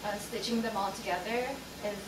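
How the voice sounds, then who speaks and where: A woman's voice: mid-range voice, steady tone, brisk. A young woman from the Philippines sharing her story in a casual group discussion, talking with lots of fillers. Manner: chatty, many fillers. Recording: roomy, distant mic, noisy.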